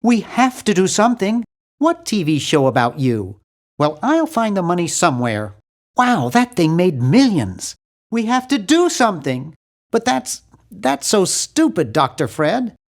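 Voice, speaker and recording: A man voicing a nerdy, earnest and anxious young hero in a video game, with a fussy, animated delivery. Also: high voice, a little slow, heavily acted. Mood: worried, pleading. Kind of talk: video game character voice lines.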